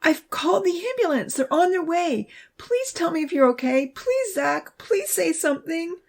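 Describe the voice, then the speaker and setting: A woman's voice: very animated, normal speed, darkish tone. A woman voicing a frightened teenage girl who pleads urgently through tears. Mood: pleading. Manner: high, urgent and sobbing.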